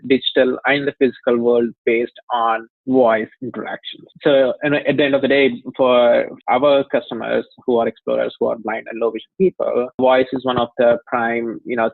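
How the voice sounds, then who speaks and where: A man's voice: medium pitch, vocal fry, no room sound. Indian man on a tinny phone line. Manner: explanatory, talks at length with frequent "you know" and light laughs. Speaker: company CEO, guest. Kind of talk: interview conversation.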